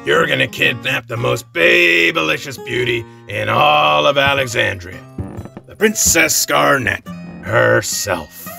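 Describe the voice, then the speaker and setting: Gruff older male troupe boss played by a woman: low, drawn-out, blustering, fantasy audiobook. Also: expressive, bright, forceful tone, faint music behind, full character voice. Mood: scheming, approving. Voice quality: gravelly, creaky. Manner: slow, blustering, crude. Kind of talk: theatrical fantasy audiobook acting.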